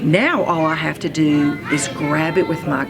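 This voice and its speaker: A woman reading a children's story aloud in an expressive, playful manner. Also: low voice, measured pace, vocal fry, music in the background. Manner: expressive, playful, acts out sounds and exclamations. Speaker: read-aloud reader, school superintendent.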